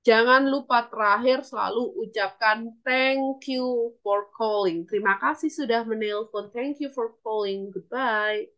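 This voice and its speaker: Female Indonesian lecturer, higher-pitched, instructive delivery for an online language class with example phrases. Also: measured pace, fairly bright tone, muffled recording. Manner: instructive, repeats and translates phrases. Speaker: English lecturer.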